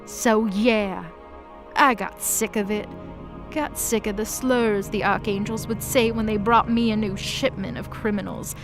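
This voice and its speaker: A woman's voice: medium pitch, fairly even intonation, unhurried, natural voice. A woman playing an embittered, defiant demon of hell in an audio drama, speaking with passion and slangy sarcasm. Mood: impassioned, outraged. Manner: impassioned, sarcastic, casual slangy speech. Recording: prominent music under the voice.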